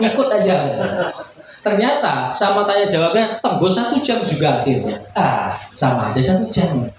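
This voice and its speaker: A man's voice: mid-range voice, darkish tone. Echoey male preacher. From Indonesia. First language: regional Indonesian village language. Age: 40s. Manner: folksy, humble, joking, chatty with listeners. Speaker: Islamic preacher. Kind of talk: informal religious sermon to a congregation. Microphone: public address microphone. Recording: very echoey, muffled, noisy PA recording.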